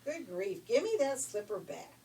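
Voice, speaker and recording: Woman as an exasperated messenger man, loud and blunt. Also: fairly high pitch, sing-song, moderate pace. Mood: exasperated scolding. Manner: blunt, scolding, folksy. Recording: distant mic with faint noise.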